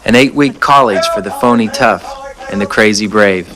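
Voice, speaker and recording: A man playing a detached young Marine recruit, narrating a film in a measured deadpan voice-over. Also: on the low side, a crowd in the background, close to an everyday voice.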